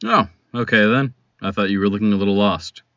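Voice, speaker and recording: A man's voice: lowish voice, a little fast, sharp tone, muffled recording. A man playing a courteous, helpful businessman stranger in an audio drama. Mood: polite, helpful.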